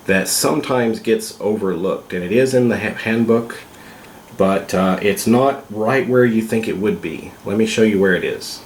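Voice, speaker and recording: A man with a cold, sounding congested, hosting a casual vlog and explaining things slowly with many pauses and fillers. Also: on the low side, roomy sound, a little hiss or hum. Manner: slow, hesitant, with many fillers. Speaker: vlog host.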